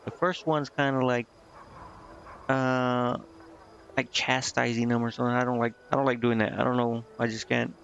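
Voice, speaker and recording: A man's voice: medium pitch, little pitch movement, normal speed, vocal fry, a bit bright, a little hiss or hum. A man streaming a game, commenting casually and reading dialogue aloud in a hesitant way, stumbling over words. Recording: slightly muffled, music behind. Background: game music.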